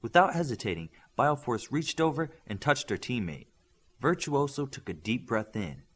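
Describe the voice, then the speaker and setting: Expressive husky man reading fiction. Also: mid-range voice, moderate pace. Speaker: audiobook narrator. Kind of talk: scripted fiction narration.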